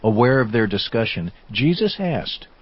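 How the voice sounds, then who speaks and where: A man's voice: medium pitch, big pitch swings, moderate pace, husky, muffled recording. A man giving scripted narration for a dramatized audio Bible.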